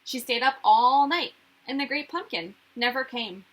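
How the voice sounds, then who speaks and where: A woman reading a picture book aloud to children in an expressive narrating style. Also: sits high, normal speed. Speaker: children's story reader.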